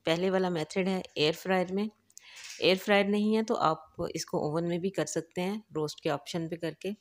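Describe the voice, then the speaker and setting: Low-voiced woman calmly guiding a recipe. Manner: calm, instructive, unhurried. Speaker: cooking channel host. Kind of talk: step-by-step recipe voice-over. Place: home kitchen.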